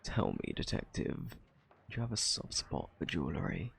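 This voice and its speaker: A man acts out a cunning gentleman thief in an ASMR roleplay, British and shamelessly flirtatious. His husky voice sits low, thick with gravelly fry. He swoops through his lines in a smug, taunting drawl, feigning innocence as he teases. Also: wildly swooping pitch, normal speed, slight background noise, full character voice. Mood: taunting, insinuating. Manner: teasing, drawling, mock-innocent.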